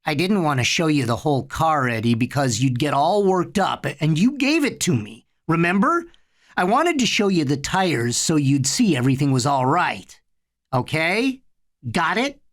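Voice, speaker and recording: Woman doing an older brother, impatient and coaxing, low voice that swings around. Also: very animated, normal speed.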